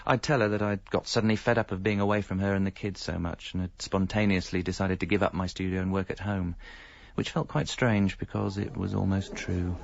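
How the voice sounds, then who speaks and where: Middle aged british husband owning up to his lies, wry self-mocking radio comedy, gravelly, bit muffled. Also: low-pitched, steady tone, fairly quick, few pauses, dry recording. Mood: wry, confessional. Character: self-deceiving married man confessing his double life wryly. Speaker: narrator and lead character. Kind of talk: first-person radio comedy narration. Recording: dull lo-fi sound, faint music.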